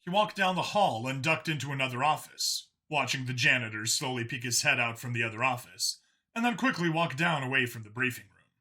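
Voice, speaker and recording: A man's voice: medium pitch, animated, brisk, pushed and bright. A man narrating a scripted science fiction story as an audiobook reader.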